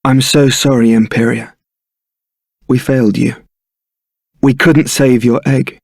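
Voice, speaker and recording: A man's voice: mid-range voice, fairly even intonation, slow pace, gravelly, a little mellow, dry recording. A man playing a suave, sardonic young templar knight and roguish ladies' man in a fantasy video game, with a dry, theatrical delivery. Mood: sorrowful.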